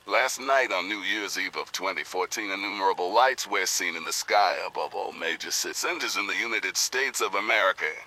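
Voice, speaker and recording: Male actor as a deep, bright-toned government alert announcer, formal. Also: brisk, hardly any pauses, slight background noise, full character voice, rough recording. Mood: official. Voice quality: formal, even official reading. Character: male emergency broadcast announcer. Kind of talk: audio drama radio announcement.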